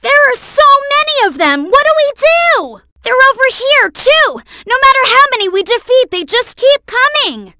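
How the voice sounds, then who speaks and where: Game role: young boy fox sidekick, very high, lively, eager and clever, careful explainer, dry studio sound. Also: normal speed, few pauses, very noisy. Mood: excited, urgent. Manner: earnest, instructive, explains carefully. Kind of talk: video game voice acting.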